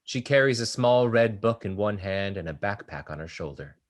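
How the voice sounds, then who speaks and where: A man's voice: lowish voice, expressive, normal speed. A man reading stage directions aloud in a plain, matter-of-fact way during a play table read.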